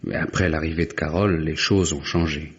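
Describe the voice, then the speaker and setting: Muffled vintage radio; earnest heir in his late twenties, very low voice, troubled. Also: fairly even intonation, brisk. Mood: sombre, recounting. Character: young married heir, earnest, troubled son. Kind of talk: 1950s French radio drama acting.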